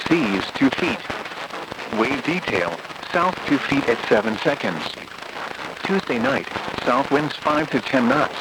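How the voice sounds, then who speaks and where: A synthetic-sounding man reading a scripted automated weather bulletin in a flat, methodical way over a noisy radio broadcast. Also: medium pitch.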